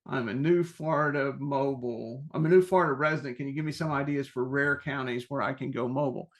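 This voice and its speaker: A man presenting an informal webinar question-and-answer session, explaining things in a halting, self-correcting way. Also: mid-range voice, fairly even intonation.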